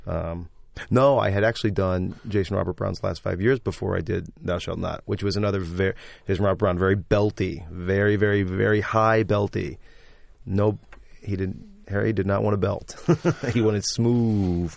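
A man, a stage actor and singer, talking enthusiastically as a guest in an interview, with a loud, braying voice. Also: low-pitched, fairly even intonation, normal speed, creaky, no echo. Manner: enthusiastic, effusive. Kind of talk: casual interview talk.

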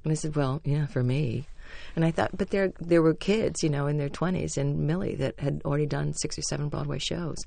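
Woman w a low gravelly voice, chatty stage actress telling stories, dry studio sound. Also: a little fast, hardly any pauses, mellow, dry recording. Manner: chatty, anecdotal, self-deprecating. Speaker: stage actress, interview guest. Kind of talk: radio interview, storytelling.

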